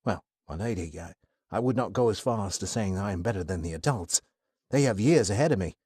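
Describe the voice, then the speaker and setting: A man's voice: lowish voice, animated, fairly quick, darkish tone, slightly rough, no echo, clean studio sound. A man voicing a flustered, polite eighteen-year-old who stammers and apologizes a lot, in a storybook reading. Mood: earnest.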